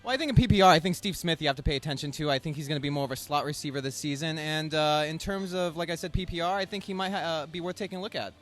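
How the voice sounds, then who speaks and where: Fast-talking man analyzing football. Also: a little high, soft background music. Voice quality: hesitant, full of fillers. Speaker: guest analyst. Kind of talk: casual sports chat.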